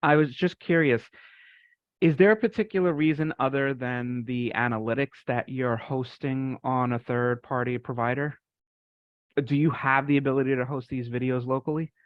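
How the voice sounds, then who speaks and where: A man with a medium-pitched voice explains technical ideas casually and tentatively. The audio is dry and a little dull, like an online call. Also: steady tone, moderate pace, no echo. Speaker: participant in a software community call.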